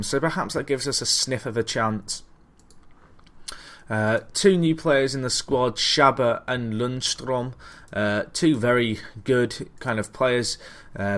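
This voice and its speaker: A man presents a football management Let's Play in a casual, conversational way. He thinks out loud, hesitating and rephrasing as he chats to his viewers. His voice sits at a medium pitch. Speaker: gaming video host.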